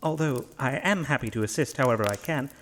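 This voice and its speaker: Male actor as devout priest for horror audio play. Mid-pitched, courteous, formal, a touch pompous, quietly unsure of himself; noisy recording. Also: fast talker, close to an everyday voice. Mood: uneasy, doubtful. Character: polite, devout priest, hesitant and quietly self-doubting. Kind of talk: horror audio drama acting.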